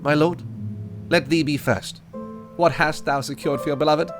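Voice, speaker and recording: A man's voice: fairly high pitch, fairly even intonation, normal speed, natural voice. A man playing a formal wedding officiant at a fae court who speaks in ceremonial, archaic address. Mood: solemn. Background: music.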